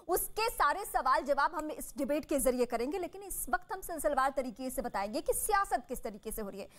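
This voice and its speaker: A woman with a high voice moderates a televised news debate. She presents like a live anchor, with emphatic, formal delivery.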